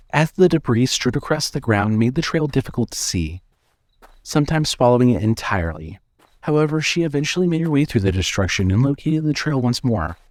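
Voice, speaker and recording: A man's voice: mid-range voice, dry recording. A man reading scripted suspense fiction as a narrator.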